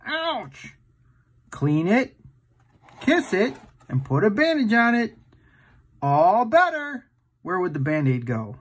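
Animated man reading a children's storybook. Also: very high voice, slow, long pauses. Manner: playful, exaggerated, asks the listener questions. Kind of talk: animated read-aloud picture book storytime for young children.

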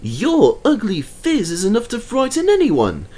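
A man voicing a proud, fussy, impatient tank engine in a children's storybook, with a brisk, cross manner. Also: high-pitched, wildly swooping pitch, mellow, slightly rough, voice pushed up, heavily acted. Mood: cross, haughty. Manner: brisk, cross, self-important.

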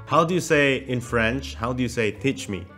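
A young man from Indonesia chatting playfully with strangers on a video call, in a low voice that people call a bit robotic. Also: moderate pace, a bit bright, soft background music. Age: young adult. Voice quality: low, slightly robotic-sounding voice. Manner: playful, laughs often, switches languages. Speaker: video chat host. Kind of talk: casual chat with strangers. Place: small room with shelves, indoors.

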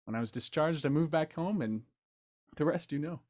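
A man's voice: on the high side, normal speed, a little mellow, lightly acted. Man playing a wistful Victorian army doctor. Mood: nostalgic. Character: nostalgic Victorian doctor, male war veteran, genial and defensive. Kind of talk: radio play acting over video call.

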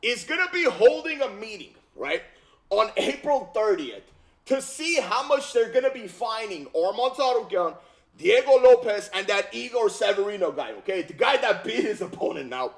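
A man's voice: high voice, normal speed, rough recording. A man in his thirties, originally from Armenia, talking casually about fight news in a slangy, repetitive way from a home gaming room on a headset mic. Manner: animated, slangy, repeats himself, frequent "bro" and "okay?". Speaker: YouTube commentator. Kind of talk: casual reaction commentary.

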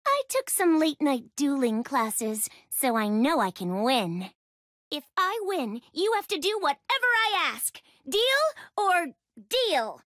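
A young woman voicing an excitable, bubbly young female duelist and reporter in an anime card game, with a perky, theatrical delivery. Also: sits high, slow, no echo, clean studio sound, heavily acted. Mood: eager, confident.

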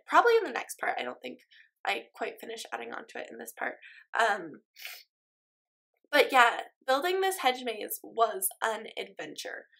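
A woman's voice: fairly high pitch, gravelly, a bit bright. A young woman with a stuffy nose, chatting casually over her game footage in a rambling way, full of ums and likes. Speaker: Sims speed-build YouTuber.

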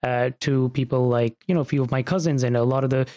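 Relaxed man hosting a podcast. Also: medium pitch. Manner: relaxed, agreeable, quick back-channel replies. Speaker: podcast host. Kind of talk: casual podcast chat.

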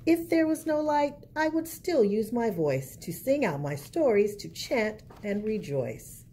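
A woman reads a picture book to children in an expressive storyteller's style. Her pitch moves freely over a mellow, rounded tone. A little background noise sits behind her voice. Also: measured pace. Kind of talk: read-aloud picture book narration.

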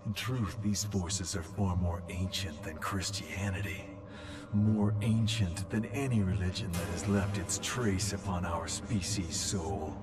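A male actor playing a grave, solemn narrator in a horror game, speaking in measured, portentous phrases. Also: sits low. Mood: grave, ominous. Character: grave male narrator warning of ancient evil. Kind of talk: solemn scripted cinematic narration. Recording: reverberant voice over loud music.

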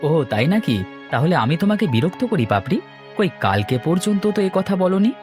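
A man's voice: high voice, big pitch swings, normal speed, darkish tone, soft background music. A man voicing an earnest, heartbroken young lover with a reproachful manner in a cartoon. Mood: indignant, hurt. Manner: reproachful, wounded. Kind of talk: melodramatic cartoon acting.

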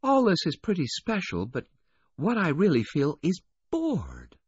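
Man voicing a high-pitched sulky teenage rich girl. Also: huge pitch swings, moderate pace, darkish tone, slightly rough, dry recording, highs cut off, full character voice. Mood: disgruntled. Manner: disgruntled, blurting.

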